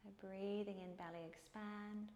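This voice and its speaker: A woman teaching yoga and guided meditation in a calm, soothing way, with unhurried pauses between phrases. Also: medium pitch, a little hiss or hum. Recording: echoey room.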